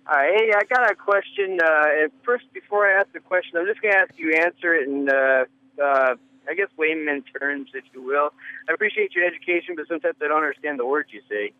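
A man's voice: fairly high pitch, a little fast. A man calling in to a radio show, speaking hesitantly with many fillers and restarts. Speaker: caller. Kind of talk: casual phone call. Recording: tinny phone line.

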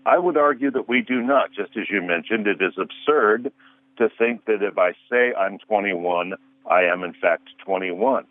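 A man's voice: fairly low pitch, no echo. A man in his sixties, a guest counselor on a radio show, arguing earnestly through rhetorical questions over the phone. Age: 60s. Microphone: telephone. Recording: tinny phone line.

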